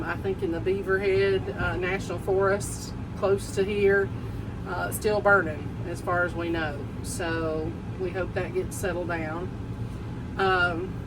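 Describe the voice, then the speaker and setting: A woman's voice: medium pitch, far from the mic, noisy background. An older woman from Tennessee with a strong Southern accent, chatting casually on a travel vlog with many ums. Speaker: vlog co-host.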